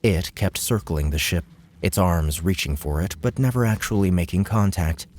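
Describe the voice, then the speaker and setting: A man's voice: deep voice, moderate pace, darkish tone, a little hiss or hum. A man narrating an audiobook in a scripted, dramatic storytelling style.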